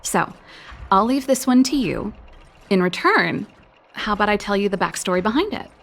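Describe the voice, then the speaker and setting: A woman's voice: medium pitch, expressive, moderate pace, a bit bright. A woman playing a breezy, confident older-sister mechanic in a video game, chatty and teasing with a nostalgic streak. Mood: playful, wistful.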